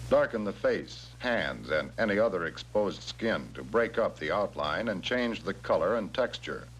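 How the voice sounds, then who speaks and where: Guy narrating an old army training film, lowish voice, measured, bit of hum behind him. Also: animated, a bit bright, rough recording. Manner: measured, instructional, addressing the viewer directly. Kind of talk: scripted instructional narration. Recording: old training film soundtrack, humming noise.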